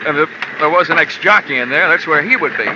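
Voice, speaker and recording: A man's voice: fairly high pitch, lively intonation, fast talker, very noisy, lightly acted. An actor playing a hard-boiled male insurance investigator, narrating and talking in a terse, sardonic, wisecracking way for a 1940s radio drama. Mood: grim. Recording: dull old radio broadcast with music.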